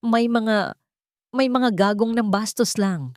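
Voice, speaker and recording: A woman voicing a schoolboy younger brother, halting and trying to stay brave in a dramatic story. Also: a little high, darkish tone, no room sound, studio quality, voice pushed up. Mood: feigned calm. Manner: halting, putting on a brave face.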